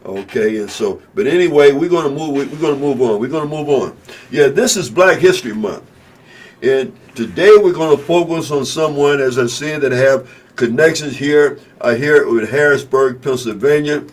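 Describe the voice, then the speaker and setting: A man's voice: mid-range voice, normal speed. Rambling talk-radio host, man. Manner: rambling, repeats phrases, many fillers. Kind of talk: casual talk-radio monologue.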